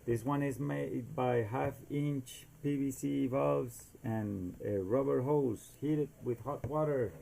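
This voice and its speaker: A man's voice: mid-range voice, creaky, warm tone, a little hiss or hum. A man from Costa Rica with a Costa Rican Spanish accent gives an informal, homemade explainer in non-native English, often searching for words and slipping in Spanish fillers. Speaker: amateur inventor demonstrating a homemade device.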